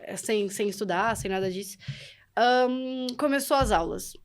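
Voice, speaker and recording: A woman's voice: medium pitch, a little slow, slight background noise. A young woman with a Brazilian accent telling her experiences casually and chattily as a podcast guest. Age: young adult. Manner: chatty, informal, often laughing. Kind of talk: casual conversational storytelling.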